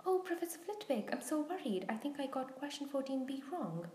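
Casting: woman narrator playing cheeky loyal storybook schoolboy, blunt and emphatic, soft-toned, roomy recording. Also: sits high, very animated, a little fast, a little hiss or hum, voice pushed up. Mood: mocking. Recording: roomy, echoing sound.

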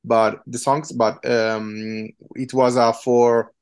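A man's voice: mid-range voice, flat delivery, slow, darkish tone, smooth. A man with an Italian accent, in his thirties, a punk musician answering interview questions in a hesitant, casual way with many fillers. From Italy.

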